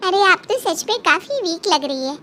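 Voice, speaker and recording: A woman's voice: very high voice, animated, moderate pace, very noisy. A woman voicing a chatty, sympathetic gossip in a Hindi cartoon story. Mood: pitying, gossipy. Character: chatty, sympathetic gossiping female temple friend.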